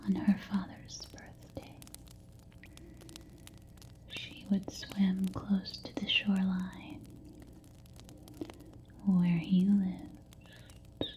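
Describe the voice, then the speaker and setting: A woman whispers very slowly and gently as an ASMR storyteller, leaving long pauses between phrases. Her voice is soft and mellow, in a slightly muffled recording. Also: mid-range voice, completely flat delivery.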